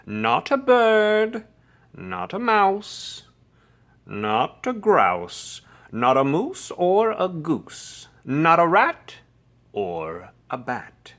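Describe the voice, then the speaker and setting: Narrator putting on a scolding mother cat, wildly swooping pitch. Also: very animated, extremely slow, pauses often, sharp tone, exaggerated voice. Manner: emphatic, singsong scolding.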